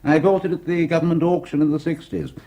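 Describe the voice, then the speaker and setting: An actor playing a smooth, patronizing upper-class schemer in a radio drama. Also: male voice, fairly high pitch, fairly even intonation, quick pace, dark tone, natural voice. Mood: explaining. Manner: suave, patronizing.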